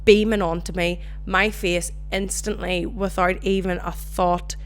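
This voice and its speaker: A young Northern Irish woman hosting her own podcast, chatting casually and telling animated stories. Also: fairly low pitch, a little slow, slight background noise. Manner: chatty, animated storytelling with swearing. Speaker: podcast host. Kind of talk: casual solo chat.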